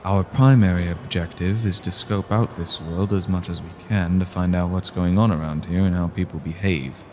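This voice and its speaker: A man playing a cold, scheming vampire clan leader in a fantasy audio drama, speaking in a deliberate, formal and grave way. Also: deep voice, steady tone, warm tone, slight background noise, lightly acted.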